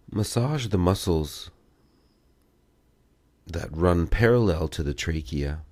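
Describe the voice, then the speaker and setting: A man giving guided meditation and self-massage instructions in a measured way, pausing between short phrases. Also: sits low, dark tone, no echo.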